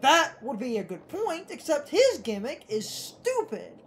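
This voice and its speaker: A woman's voice: sits high, wildly swooping pitch, a little slow, a little hiss or hum. A woman doing casual gaming commentary, explaining things in a rambling, argumentative way over game music. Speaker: let's play co-commentator. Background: video game music.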